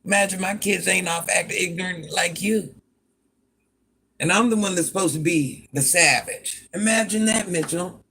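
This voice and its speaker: A grandmother with a deep voice, chatting on a livestream and talking back to commenters with sass. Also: normal speed, gravelly, fairly bright tone, slight background noise. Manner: sassy, talks back to commenters by name. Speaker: livestream host. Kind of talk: casual livestream chat.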